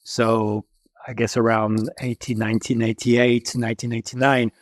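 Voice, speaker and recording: French-accented man with halting delivery. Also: mid-range voice, little pitch movement, no room sound. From France. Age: 50s. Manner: halting, many filler pauses, laughs often. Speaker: special makeup effects supervisor guest. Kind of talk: interview answers.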